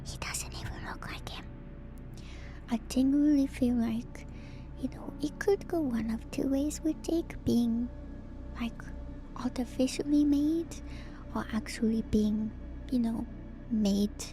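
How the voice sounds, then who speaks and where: A woman streamer whispering an ASMR lore commentary to her viewers in a hushed, musing, unhurried way. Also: on the high side. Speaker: VTuber streamer. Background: music.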